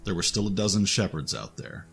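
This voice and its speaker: A man's voice: low voice, expressive, brisk, gravelly, fairly bright tone. A man narrating an action audio drama in a scripted storytelling style. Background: music.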